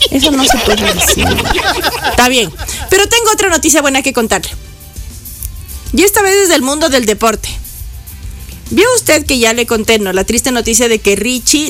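A woman's voice: on the high side, a bit bright. Chatty woman radio host. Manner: playful, teasing asides between news items. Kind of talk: chatty news commentary.

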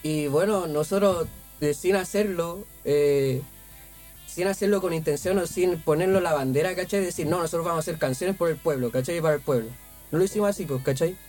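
A man's voice: on the high side, fairly quick, a little mellow, soft background music. A man in his thirties with a Chilean accent, a band member chatting casually in an interview, rambling with lots of fillers and slang. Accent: Chilean Spanish. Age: 30s.

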